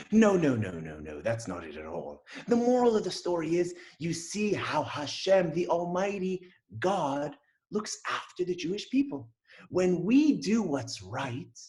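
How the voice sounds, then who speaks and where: Animated, high-voiced male storyteller. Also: roomy sound. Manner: animated, jokey, swooping pitch. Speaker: storyteller for an online Hebrew school. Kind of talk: playful children's storytelling. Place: home in Toronto. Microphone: video call.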